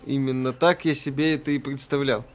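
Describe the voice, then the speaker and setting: A man's voice: medium pitch, steady tone, a little fast. A man co-hosting a live game stream, making short, casual remarks.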